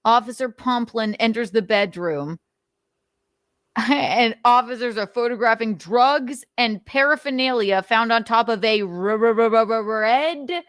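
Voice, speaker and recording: A woman's voice: on the high side, unhurried, a bit bright, no room sound, studio quality. A woman hosting a livestream, reading notes aloud and adding blunt, sweary, theatrical and sarcastic commentary. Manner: blunt, sweary, indignant asides. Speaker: livestream host.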